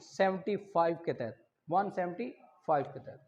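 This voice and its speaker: A man's voice: sits high, lively intonation, darkish tone. Pakistani man teaching law, dull lo-fi audio. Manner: reads English questions slowly, then explains in Urdu; calls on students by name, brisk and encouraging. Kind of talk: online class quizzing students.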